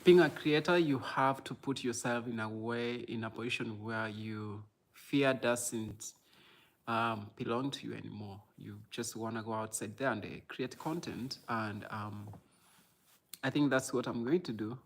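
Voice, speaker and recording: Creaky-voiced Kenyan man chatting casually. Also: medium pitch, a little slow, a little hiss or hum. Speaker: content creator and livestream host. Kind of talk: casual livestream chat answering viewers.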